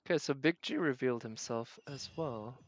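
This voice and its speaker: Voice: mid-range voice, normal speed, lo-fi sound. A streamer chatting casually with viewers during a game, thinking aloud in a musing, hesitant way. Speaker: live streamer. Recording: game music behind the voice. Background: video game music.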